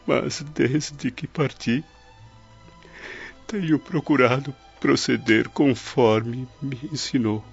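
Dying older nobleman, radio drama, gravelly, very slow, faltering, devout, pleading, solemn. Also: medium pitch, fairly even intonation, full character voice. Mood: remorseful confession. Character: dying repentant Roman nobleman, older man, humble and devout. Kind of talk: old radio drama acting. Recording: dull lo-fi radio drama with music.

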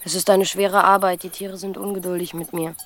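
Casting: female actor for poor young herding girl in a radio play; slightly low voice, plain, guarded, earnest. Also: little pitch movement, a little fast, fairly bright tone, soft background music, lightly acted. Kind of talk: scripted German radio drama acting.

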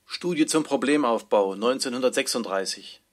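A man's voice: mid-range voice, animated, normal speed. A man announcing manuscript titles and dates in a plain, matter-of-fact way.

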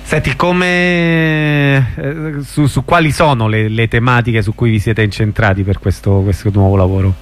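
A male radio host conducting a casual interview, putting his questions in an informal, halting way. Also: medium pitch, fairly even intonation.

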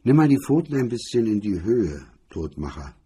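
Man playing a husky, sardonic hardboiled detective. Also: lowish voice, sing-song, moderate pace, mellow, exaggerated voice. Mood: commanding. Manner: casual, sardonic. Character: cool, sardonic male hardboiled investigator with a gun.

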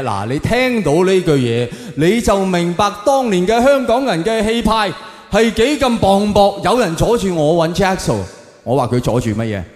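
A man's voice: on the high side, darkish tone. A man with a Hong Kong Cantonese accent performing a colloquial stand-up monologue, with rhetorical questions and emphatic punchlines. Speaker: stand-up comedian. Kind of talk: stand-up comedy monologue to an audience. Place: on stage in a large hall. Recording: echoey large hall, long reverb.

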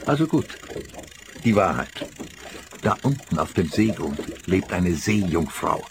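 A man's voice: sits low, big pitch swings, measured pace, vocal fry, background noise, heavily acted. An actor playing a grumpy, secretive older man in a radio play, curt and defensive. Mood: grudging, absurdly earnest.

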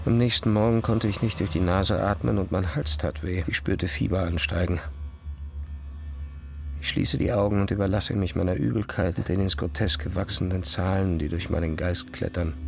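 An actor playing an obsessed, brooding physicist who narrates his own life as an introspective, measured inner monologue in a radio drama. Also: sits low, fairly even intonation, vocal fry. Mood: feverish, weary. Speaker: narrator and lead character. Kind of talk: literary first-person radio drama narration. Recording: music behind the voice. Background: music.

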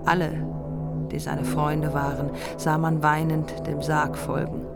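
A woman's voice: low voice, level tone, a little slow, creaky, over loud music. A woman narrating a heroic epic in a scripted radio play.

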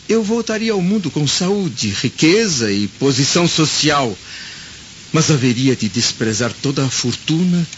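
Troubled Roman senator, middle register, grave and anguished radio-drama style, dull recording. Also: male voice, mid-range voice. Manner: solemn, anguished, theatrical recounting. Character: troubled Roman senator recounting a haunting vision of past life. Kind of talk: dramatic radio play acting. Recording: dull lo-fi audio with loud storm noise.

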